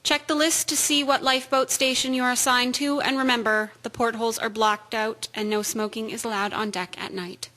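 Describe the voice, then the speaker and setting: An actress playing a wry, practical army nurse in a wartime radio drama. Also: female voice, fairly high pitch, flat delivery, brisk, few pauses, pushed and bright. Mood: brisk, grumbling.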